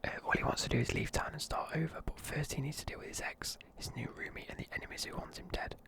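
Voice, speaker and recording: Sleepy brit whispering a movie review, creaky voice, rambly and loose. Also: medium pitch, steady tone, fast talker, fairly bright tone. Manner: rambling, loose, lots of fillers. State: sleepy, tired. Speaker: YouTuber reviewing films. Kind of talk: whispered ASMR film review.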